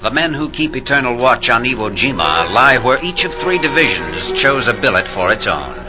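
A man's voice: mid-range voice, big pitch swings, moderate pace, close to an everyday voice, low-quality recording. A man playing a solemn narrator honouring the war dead in a poetic 1940s radio drama, with grave delivery. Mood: solemn, elegiac. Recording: 1940s radio recording with orchestral music. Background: orchestral music.

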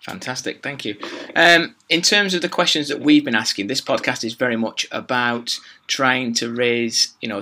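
A man's voice: mid-range voice, sharp tone. A man hosting an education podcast, introducing a guest and asking questions in an upbeat, conversational way. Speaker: podcast host. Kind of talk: podcast interview.

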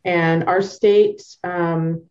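A woman's voice: lowish voice, fairly even intonation, extremely slow, muffled recording. A woman from Northern Virginia with an American accent, telling her story informally and reflectively as an interview guest. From Northern Virginia, United States. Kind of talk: conversational personal storytelling.

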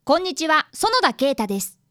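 A woman's voice: sits high, sing-song, a little fast, over loud music. A woman playing a polite, earnest fifth-grade boy detective apprentice in a radio drama. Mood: earnest narrating. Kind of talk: radio drama acting and narration.

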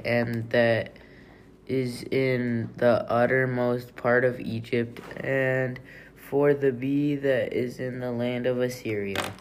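Casting: man for a family scripture reading, unhurried delivery, medium pitch, slight noise behind. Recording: faint background noise.

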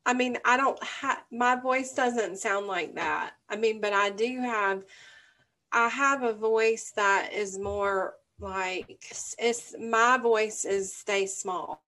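A woman's voice: medium pitch, slow pace, sharp tone. A middle-aged woman speaking thoughtfully and openly, searching for her words in a casual conversation.

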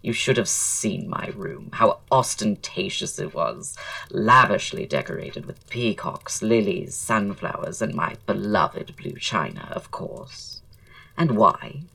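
An actor playing a witty, flamboyant Victorian writer and aesthete in an audio drama, speaking in a florid, languid, theatrical way. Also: male voice, fairly low pitch, expressive, takes it slowly, gravelly, pushed and bright, distant mic, exaggerated voice. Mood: eloquent, musing.

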